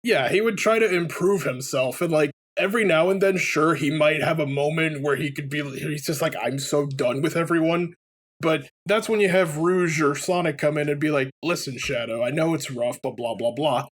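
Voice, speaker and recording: A man's voice: on the high side, normal speed. A man chatting casually and giving opinions as a game commentator, rambling and swearing as he goes. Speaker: let's player commentator.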